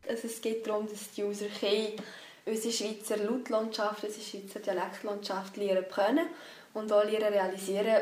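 A woman's voice: mid-range voice, level tone, fairly quick, a little mellow. A woman with a Swiss German accent explaining things off the cuff as a researcher in an interview. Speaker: phonetics researcher being interviewed. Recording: echoey room, faint background noise.

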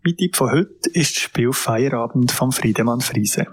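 A man's voice: medium pitch, normal speed, slightly rough. A man giving a short board game recommendation in an informative, read tone. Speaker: contributor giving a game tip. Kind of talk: reading a recommendation. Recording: distant mic with faint music.

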